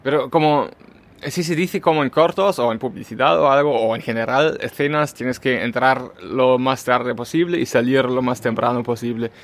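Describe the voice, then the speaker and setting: A man's voice: mid-range voice, normal speed. A man with a German accent, native German speaker, co-hosting a podcast in casual chat, thinking aloud with many fillers and restarts. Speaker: podcast co-host.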